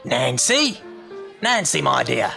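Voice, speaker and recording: A wily old English leader of thieves in an audio drama. His voice is gravelly and he draws his words out slowly. He wheedles theatrically, swooping up and down as he coaxes. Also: medium pitch, big pitch swings, pushed and bright, faint music behind, heavily acted. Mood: teasing. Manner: theatrical, ingratiating, drawn-out delivery.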